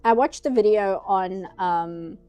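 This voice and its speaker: A woman's voice: mid-range voice, slow, mellow, clean tone, soft background music. A nasal-sounding woman streaming herself painting, chatting casually in rambling asides. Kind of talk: casual chat while drawing.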